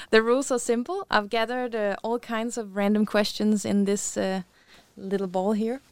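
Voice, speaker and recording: A woman hosting a company podcast, chatty and upbeat, with many "uh" fillers. Her voice is smooth and clean, at a middle pitch, and recorded dry and close. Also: fairly even intonation, normal speed, darkish tone, no room sound. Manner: upbeat, with frequent laughs and "uh" fillers. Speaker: podcast host.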